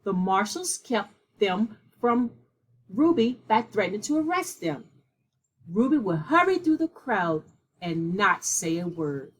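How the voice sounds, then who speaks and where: Female storyteller, mid-pitched and a little husky, measured read-aloud of a children's picture book. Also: big pitch swings, rough recording.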